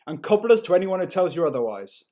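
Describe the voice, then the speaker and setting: A man's voice: sits high, very animated, quick pace, dark tone, pitched above the performer's own voice. A man voicing a brash, worldly-wise father in a story, giving blunt, laddish advice emphatically. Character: brash, worldly-wise father advising his young son.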